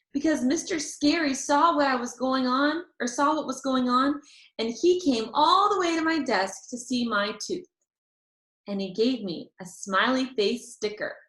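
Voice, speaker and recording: A woman reading a children's chapter book aloud in an expressive, deliberate storytelling manner. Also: high-pitched. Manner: animated, deliberate storytelling with drawn-out phrases. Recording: roomy, echoey sound.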